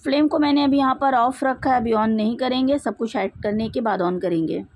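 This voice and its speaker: A woman's voice: fairly high pitch, brisk, no room sound. A woman with an Indian accent narrating a recipe step by step in a methodical, instructional way. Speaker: home cook presenting a recipe. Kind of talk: step-by-step cooking tutorial narration.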